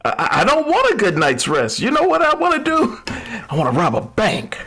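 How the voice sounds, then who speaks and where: An actor playing a cocky, reckless young thief in a vintage radio drama, with a husky, gravelly voice and a flippant manner. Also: male voice, sits high, huge pitch swings, normal speed, sharp tone, full character voice. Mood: reckless, excited. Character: reckless doomed young man, cocky thrill-seeking diamond thief.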